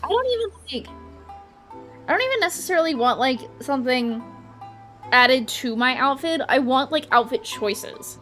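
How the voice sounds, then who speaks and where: A woman streaming a video game, reacting casually out loud. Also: high voice, lively intonation, normal speed. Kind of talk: casual live reaction commentary. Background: game music.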